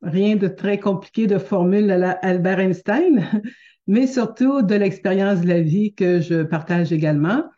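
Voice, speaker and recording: Québécois woman teacher, mellow voice, halting delivery with lots of fillers, lo-fi webinar mic. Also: mid-range voice. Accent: Québécois French. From Quebec, Canada. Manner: hesitant, many fillers and restarts, gentle and earnest. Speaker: teacher hosting a live webinar. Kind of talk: unscripted live webinar talk. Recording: dull lo-fi webinar audio.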